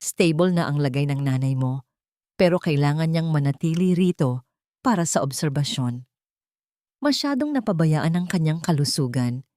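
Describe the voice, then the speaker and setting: A woman voicing a calm hospital doctor who explains things in a measured, matter-of-fact way. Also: medium pitch, no echo, clean studio sound, close to an everyday voice. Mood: serious.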